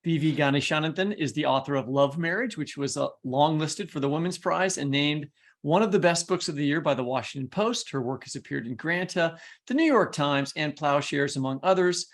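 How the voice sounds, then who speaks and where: Creaky, higher-pitched man co-hosting a podcast. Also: brisk. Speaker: podcast co-host and interviewer. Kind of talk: casual podcast conversation between colleagues.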